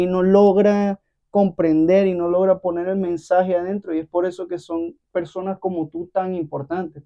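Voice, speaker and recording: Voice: medium pitch, muffled recording. An interview host asking questions in a casual, affirming, conversational way. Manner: affirming and conversational, with small listening sounds.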